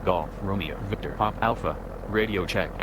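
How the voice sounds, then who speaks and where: A man's voice: low voice, normal speed, natural voice. A man voicing a businesslike light aircraft pilot in a simulator game, making clipped, formal radio calls. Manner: clipped, procedural. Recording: clear aircraft engine noise.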